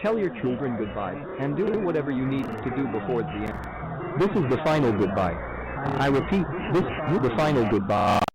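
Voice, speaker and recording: A grave emergency announcer, acted by a man, delivers a doomsday warning in analog horror style. The pitch moves widely around a middle register, under clear noise. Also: big pitch swings, moderate pace, pauses often. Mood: grave, ominous. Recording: noisy horror video clip.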